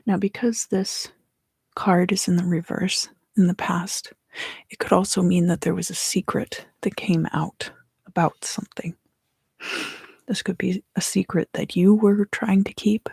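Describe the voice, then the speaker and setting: Asmr tarot lady, super breathy whisper, bit of fry, really dry sound. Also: fairly low pitch, no room sound. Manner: slow, hushed, gentle delivery with pauses. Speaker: tarot reader and host.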